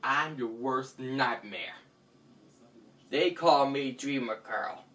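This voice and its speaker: Storyteller playing brave young heroine, female, commanding, bright, deliberate pace, a bit distant. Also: mid-range voice. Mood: menacing. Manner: declarative, commanding, dramatic. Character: bold, confident female superhero girl named Dreamer. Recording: distant mic, faint background noise.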